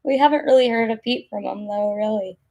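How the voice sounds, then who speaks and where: A woman with a raspy voice, hoarse from losing it recently, chatting casually and rambling with viewers on a live stream. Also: a little high, brisk, a little mellow. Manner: chatty, rambling, with fillers and laughs. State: hoarse after losing her voice. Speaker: vlogger answering live chat.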